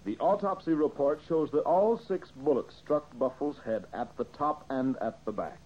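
A man's voice: on the high side, normal speed, husky. An actor playing a confident, methodical male detective in an old-time radio mystery, explaining with emphasis. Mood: assured, accusing. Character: brisk, confident male detective laying out a case. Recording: muffled old radio recording.

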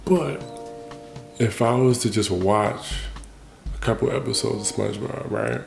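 A man's voice: on the low side, unhurried, gravelly, darkish tone, reverberant, faint music behind. A man hosting a solo podcast, musing casually and restarting his thoughts as he goes. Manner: casual, thinking aloud with frequent restarts. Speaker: podcast host. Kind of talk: reflective solo monologue.

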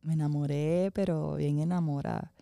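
A woman's voice: low voice, normal speed, vocal fry, no room sound, clean studio sound. A woman co-hosting a casual podcast with her husband, telling their story with lots of laughter and switching between Spanish and English. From New York. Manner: animated storytelling with frequent laughter. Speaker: podcast co-host, wife and mother. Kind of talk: casual chat.

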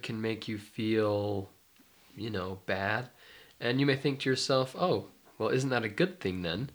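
A man's voice: lowish voice, a bit bright. A young man co-hosting a casual talk podcast, congested and a little nasal from a cold. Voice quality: nasal, stuffy. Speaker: podcast co-host, mindfulness life coach. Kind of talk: casual chat.

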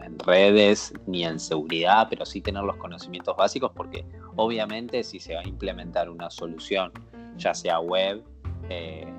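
A man explains technical points in a measured, steady way. Clear guitar music plays under his speech. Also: low voice. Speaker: co-host. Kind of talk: casual tech chat.

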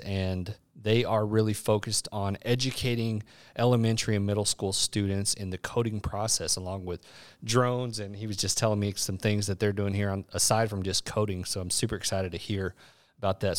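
A man's voice: on the low side, fairly quick. A man hosting a podcast, enthusiastic in his intro and rambling when asking questions. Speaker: podcast host. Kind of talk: interview hosting.

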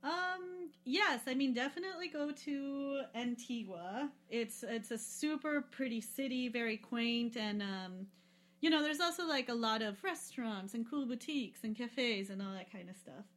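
A woman's voice: on the high side, normal speed, a bit bright. A woman chatting casually as a podcast guest, loose and rambling, with many fillers and frequent laughs. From lives in Peru. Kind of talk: casual travel chat.

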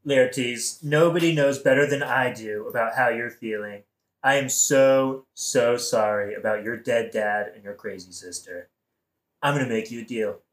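A man's voice: medium pitch, a little slow, lightly acted. A man playing a scheming king who placates with false sympathy, speaking casually and confidingly in a satirical audio play. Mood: placating. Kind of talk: modern-language satirical audio play acting. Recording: roomy echo.

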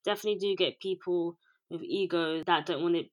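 A woman in her twenties from England, a director and producer, speaking in a calm, even, rather monotone way as an interview guest. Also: on the low side, brisk. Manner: calm, even, self-described monotone delivery. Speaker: music video director and producer. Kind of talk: interview guest, casual conversation.

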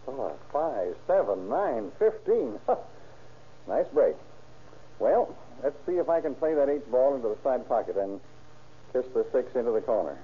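A man's voice: mid-range voice, very animated, a little slow, slight background noise. An actor playing an easygoing, down-on-his-luck American man in an old-time radio crime drama, talking in a casual, slangy, affable way. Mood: casual, playful. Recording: muffled, lo-fi old radio recording.

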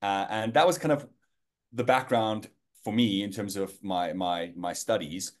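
Hesitant South African man, lowish voice. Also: measured pace. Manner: hesitant, many fillers and restarts. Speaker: guest. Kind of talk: casual interview.

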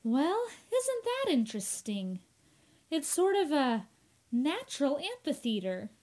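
A woman's voice: high voice, very animated, measured pace, a little mellow. A woman playing a sensible, well-informed young woman in an old-time radio drama, reasoning and explaining with theatrical clarity. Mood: cheerful, explanatory.

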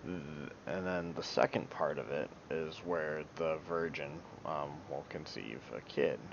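A man's voice: unhurried, creaky. A man giving an informal Bible teaching talk, hesitant and apologetic, with many ums. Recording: dull lo-fi recording with low rumble.

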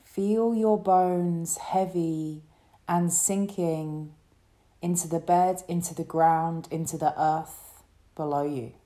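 A woman leading a guided relaxation meditation as a yoga teacher, speaking in a soothing, gentle way. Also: fairly low pitch, fairly even intonation. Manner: soothing, gentle, unhurried instruction.

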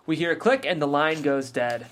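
A reader calmly reading stage directions aloud at a table read. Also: mid-range voice, normal speed, a little hiss or hum. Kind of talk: scripted narration.

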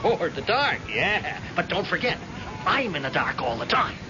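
Proud bitter blind tough guy, higher-pitched, swinging intonation, hard-boiled, old radio drama, noisy recording. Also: big pitch swings, moderate pace, highs cut off. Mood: taunting, aggressive. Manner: hard-boiled, challenging, clipped colloquial phrases. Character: stubborn, proud blind man, bitter and suspicious, spoiling for a fight.

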